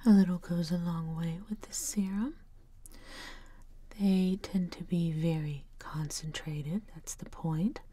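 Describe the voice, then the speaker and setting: A woman in her thirties doing ASMR in a slow, soothing whisper, close to the mic. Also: lowish voice, steady tone, dark tone, slightly rough, no room sound. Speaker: ASMR video creator. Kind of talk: ASMR whispering, gentle makeup roleplay.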